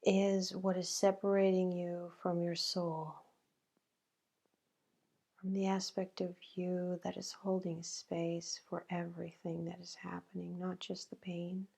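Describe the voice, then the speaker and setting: Slow, creaky-voiced woman guiding meditation. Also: fairly low pitch, flat delivery, warm tone. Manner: slow, halting, with long pauses. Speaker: meditation guide. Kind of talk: guided meditation.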